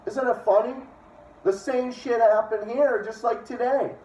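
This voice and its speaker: A man's voice: very high voice, steady tone, unhurried, a little mellow. Echoey, distant-sounding man reading aloud. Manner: opinionated, profane asides. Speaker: online reader and commentator. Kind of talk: reading a book aloud with ranting commentary. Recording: echoey room, distant dull microphone.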